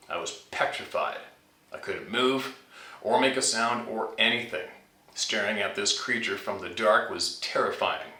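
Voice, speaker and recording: A man's voice: fairly low pitch, pushed and bright. A man hosting a channel, informally reading out and talking about scary stories sent in by listeners. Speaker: channel host reading out a viewer's submitted story. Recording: roomy echo, distant from mic.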